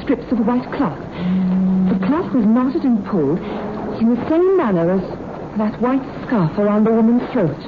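A woman acting a resolute, businesslike newspaper reporter in a radio thriller, with very lively intonation. The vintage recording is muffled and clearly noisy. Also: a little high, normal speed, natural voice. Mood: grave.

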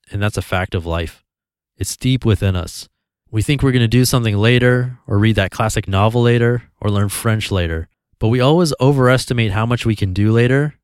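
A man hosting a podcast, calmly reading a blog post aloud in an even, easygoing way. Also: on the low side, smooth, dry recording, studio quality. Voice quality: calm, even voice.

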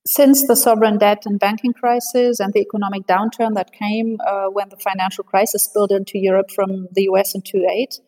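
Woman, interview guest, analytical, measured, hesitant restarts. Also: mid-range voice, fairly even intonation, warm tone, low-quality recording. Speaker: policy expert guest. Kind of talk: interview answers.

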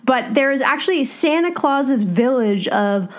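A woman's voice: high voice, expressive, measured pace. A woman co-hosting a podcast, moving between casual chat and scripted sponsor reads. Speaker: podcast co-host.

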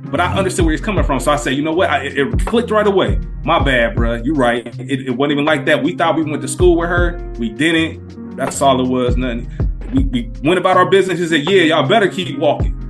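A man with a voice pitched a little above most men's tells a story on a casual podcast. He talks in relaxed street slang and gets animated as he goes. Music plays clearly underneath him. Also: fairly quick. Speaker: podcast co-host.

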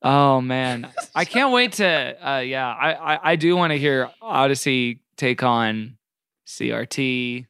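A man's voice: medium pitch, expressive, measured pace, a bit bright, smooth. A man co-hosting a podcast in casual comedic chat, with a joking, sarcastic manner. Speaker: podcast co-host.

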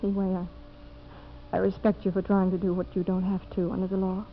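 A woman's voice: medium pitch, monotone, fast talker, lightly acted. A woman playing an earnest, idealistic young American woman, solemn and pleading, in 1950s radio drama style. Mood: wistful. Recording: muffled, noisy 1950s radio recording.

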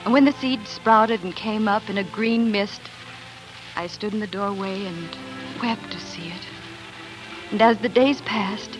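A woman's voice: mid-range voice, lightly acted, rough recording. An actress playing a young homesteader wife and mother in a 1940s radio drama, narrating in an earnest, wistful, dramatic way. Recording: 1940s radio broadcast recording.

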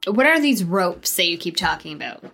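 A woman's voice: on the low side, big pitch swings, normal speed, vocal fry, bright, forceful tone, full character voice. A woman voicing a young male cult recruit who talks back sarcastically, over faint music. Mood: wary.

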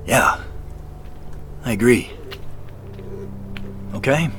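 A man's voice: mid-range voice, animated, very slow, fairly bright tone, a little hiss or hum. An actor playing an earnest, puzzled man in an audio drama, hesitant and thinking aloud in natural dialogue. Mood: alert. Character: earnest, puzzled man searching woods at night for treasure.

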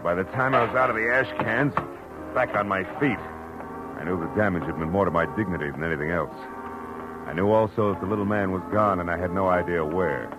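An actor playing a wry, tough private detective in a 1940s radio drama, terse and clipped. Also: male voice, deep voice, fairly quick, natural voice. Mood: wry narration. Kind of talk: 1940s radio acting, first-person noir narration. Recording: dull old radio recording, music behind.

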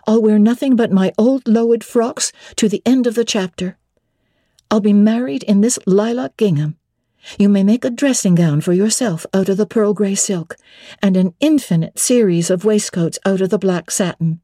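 Lady doing a feisty young governess, tart and independent, husky voice, audiobook of an old novel. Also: mid-range voice, normal speed, warm tone, no echo, studio quality, lightly acted. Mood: tart defiance. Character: spirited, defiant young English governess bride-to-be. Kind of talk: dramatic classic audiobook reading.

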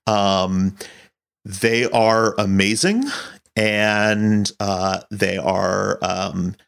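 A man's voice: on the low side, flat delivery, extremely slow, gravelly, fairly bright tone, smooth. A man being interviewed as a director, talking casually with hesitant, searching phrasing.

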